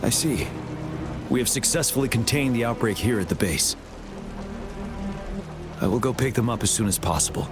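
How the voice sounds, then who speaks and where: An actor playing a resolute, dutiful military commander in a game cutscene. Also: male voice, medium pitch, expressive, normal speed, long pauses, lightly acted. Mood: grave, determined. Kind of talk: dramatic game cutscene acting. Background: music.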